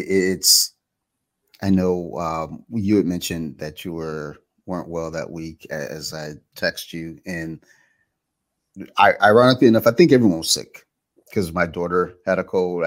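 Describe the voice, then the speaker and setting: A man hosting an interview, talking in a relaxed, conversational way with lots of "like" and "you know". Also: sits low, unhurried. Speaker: podcast host, interviewer. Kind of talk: casual interview chat.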